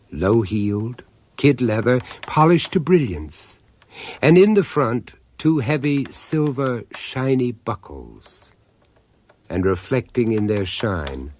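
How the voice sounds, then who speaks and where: A man narrating a radio horror drama as an urbane storyteller, deliberate and theatrical, pausing to savour each phrase. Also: fairly low pitch, darkish tone, slight background noise.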